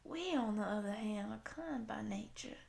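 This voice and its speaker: A woman voicing a tall, gentle, friendly cowgirl monster in her thirties, soft, affectionate and apologetic, in an intimate audio roleplay. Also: mid-range voice, lively intonation, normal speed, vocal fry. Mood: friendly, explaining. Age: 30s. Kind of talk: intimate roleplay addressed to the listener.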